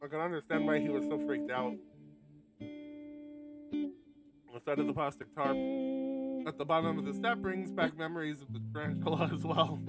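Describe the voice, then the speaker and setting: Higher-voiced sweary man over loud music. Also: lively intonation, normal speed, long pauses. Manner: wry, sweary, stumbles over the text. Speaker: streamer reading a visual novel aloud. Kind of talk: casual read-aloud commentary with asides. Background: guitar music from the game.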